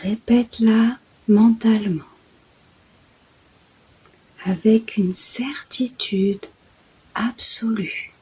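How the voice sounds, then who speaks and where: A woman guides a sleep meditation at an extremely unhurried pace. Her voice is mellow and very breathy, slipping often into a soft whisper. The delivery is hushed, soothing and deliberate. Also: a little high, fairly even intonation, rough recording. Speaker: guided meditation host and yoga nidra guide.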